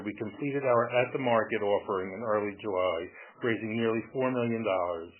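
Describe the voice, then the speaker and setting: A male finance executive reading a scripted financial report on an earnings call in a formal, businesslike manner. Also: lowish voice. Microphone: telephone conference call. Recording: noisy, tinny phone conference line.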